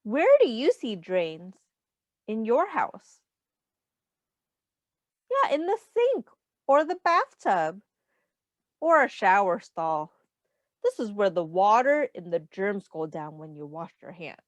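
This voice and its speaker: A woman's voice: mid-range voice, big pitch swings, slow pace, pauses often, no echo, dull audio. A woman reading a picture book aloud as a teacher, explaining simply to young children and asking them questions. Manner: simple instructive phrasing aimed at young children.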